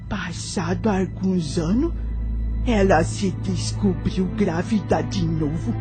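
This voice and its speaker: A Brazilian woman playing a wise, sorrowful elderly enslaved nanny in an audio drama, speaking slowly in folksy, old-fashioned words. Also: on the low side, music in the background. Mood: grave storytelling.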